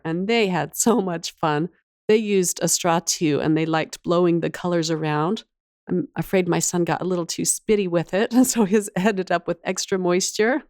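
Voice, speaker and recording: A woman's voice: lowish voice, darkish tone, dry recording, clean studio sound. Woman with a slight cold, chatty crafter. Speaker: craft channel host. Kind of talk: casual craft tutorial voice-over.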